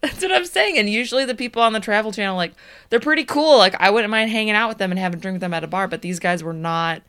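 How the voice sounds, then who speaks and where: A woman's voice: medium pitch, animated, fast talker, a bit bright, smooth. A woman co-hosting a podcast in casual chat, chatty and laughing often. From Chicago suburbs, US. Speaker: podcast co-host.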